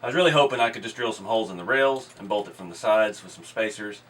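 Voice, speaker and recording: A hoarse man hosting a hobby channel, chatting casually while he works on a model build in his workshop. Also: on the low side, fast, far from the mic. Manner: relaxed, explains while working with his hands. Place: indoor garage workshop.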